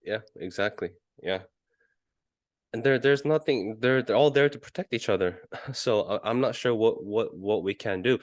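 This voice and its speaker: A man co-hosting a sports podcast in casual discussion, arguing his points haltingly and often repeating words. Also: lowish voice, no room sound, studio quality. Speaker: podcast co-host.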